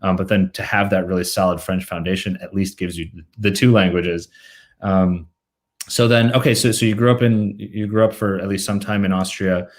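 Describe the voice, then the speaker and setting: A man hosts a podcast interview over a video call, with a somewhat low voice. He asks curious, halting questions, often restarting and repeating words before he lands on the point. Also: fairly even intonation. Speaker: podcast host. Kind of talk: casual interview.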